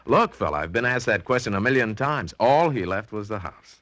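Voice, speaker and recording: An actor playing an affable, broke heir to a crumbling mansion in 1940s radio drama, breezy, slangy and emphatic. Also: male voice, on the low side, animated, a little fast, fairly bright tone. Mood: wry resignation.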